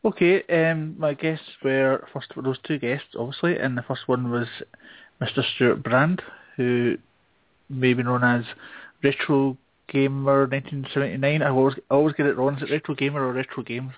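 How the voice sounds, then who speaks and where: Male podcast host, mid-pitched, casual and hesitant, dry telephone-grade audio. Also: fairly even intonation, normal speed, no echo. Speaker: show host taking a turn as guest. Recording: thin phone-like internet radio sound.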